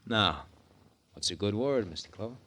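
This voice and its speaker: Fifties radio crook: lowish voice, fake-friendly, slangy, smugly mocking small-time killer. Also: male voice, animated, normal speed, sharp tone, slight background noise. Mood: breezy, mocking. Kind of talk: 1950s radio crime acting.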